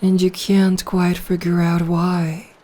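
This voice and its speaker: A woman playing a seductive, possessive female vampire in an intimate ASMR roleplay, speaking softly and breathily in a hushed, teasing, coaxing way. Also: lowish voice, little pitch movement, slow pace. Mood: hypnotic, coaxing.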